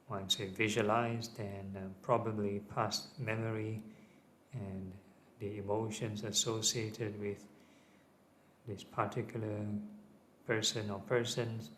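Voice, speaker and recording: A man teaching guided meditation, giving gentle, measured instructions. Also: on the low side. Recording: clearly audible ambient background noise.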